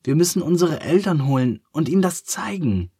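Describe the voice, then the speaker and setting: Excited little elephant children whisper-shout in high, eager voices, as a man plays them. They sound hushed yet thrilled, in the voice of a storybook read-aloud. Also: a little fast, breathy edge, no echo, studio quality.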